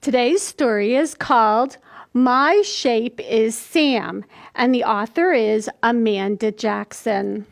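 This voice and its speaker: An older woman in her sixties hosting a children's storytime, reading aloud and explaining things simply and deliberately for small children. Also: a little high, vocal fry. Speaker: library storytime host. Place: small indoor room.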